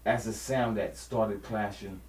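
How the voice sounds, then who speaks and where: Man, interviewer, lowish voice, direct, interrupts, roomy echo, faint noise. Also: steady tone, unhurried, darkish tone. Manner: direct, interrupts to steer the talk. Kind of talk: casual interview.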